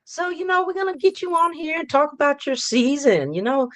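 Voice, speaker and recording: Hoarse woman hosting a live fan show. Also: high voice, sing-song, brisk, a bit bright, no room sound. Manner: upbeat, repetitive hype, rambling. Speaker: host.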